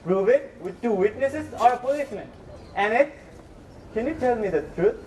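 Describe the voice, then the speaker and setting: A man's voice: very high-pitched, expressive, moderate pace. A man playing an aging, put-upon father in an amateur stage play, bitter and self-pitying, with stilted, declamatory delivery. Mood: accusing. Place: school stage performance, recorded from a distance. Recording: distant mic, noisy hall recording.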